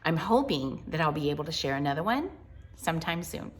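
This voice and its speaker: A woman reading a picture book aloud to children in an expressive, wonder-filled way, with chatty asides and hushed moments. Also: sits low, a little fast. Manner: animated, wonder-filled, addressing young listeners, dropping to hushed tones.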